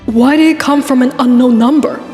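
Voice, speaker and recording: A woman's voice: high voice, a little fast, warm tone, natural voice. A woman voicing an earnest, studious young princess pony with a preoccupied, casual manner. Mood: puzzled, focused. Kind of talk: cartoon voice acting in a comic dub. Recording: roomy reverb, music underneath.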